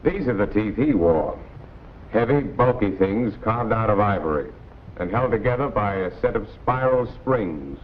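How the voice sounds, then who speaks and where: A man's voice: lowish voice, lively intonation, normal speed. An American man narrating a 1940s instructional film in a plain-spoken, colloquial, admonishing way. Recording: tinny, noisy 1940s film soundtrack.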